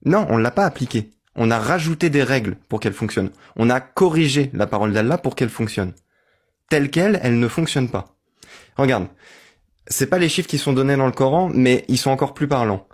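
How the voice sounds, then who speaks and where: A man's voice: medium pitch, lively intonation, a little fast. A man in an online voice-chat debate, laying out his argument step by step and asking for agreement. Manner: methodical, argues step by step, seeks agreement. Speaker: debate host.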